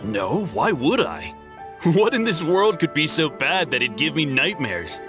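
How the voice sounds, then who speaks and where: A man's voice: fairly high pitch, very animated, unhurried. A man voicing a carefree guard with a hidden short temper, talking in a breezy, laughing way. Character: easygoing young male guard, secretly hot-tempered. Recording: game audio with music underneath.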